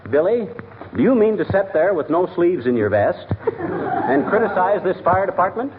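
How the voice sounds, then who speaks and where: An actor playing a self-important, wisecracking small-town commissioner, speaking bombastically in a 1940s radio comedy. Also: male voice, high-pitched, very animated, normal speed, a crowd in the background. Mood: blustering, sarcastic. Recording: tinny, noisy 1940s radio with audience.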